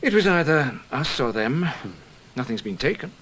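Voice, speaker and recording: An actor playing a deferential security investigator in a radio drama, giving polite, factual reports. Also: male voice, fairly high pitch, sing-song, moderate pace, fairly bright tone, rough recording. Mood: matter-of-fact. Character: deferential, matter-of-fact male British security investigator. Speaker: subordinate colleague reporting.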